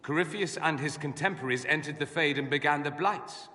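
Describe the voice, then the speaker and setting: An actor playing a witty, self-assured mage scholar in a video game, with an arch, sardonic, eloquent way of talking. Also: male voice, a little high, brisk, bright, forceful tone. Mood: concerned, urgent. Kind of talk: video game cutscene acting. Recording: long hall reverb.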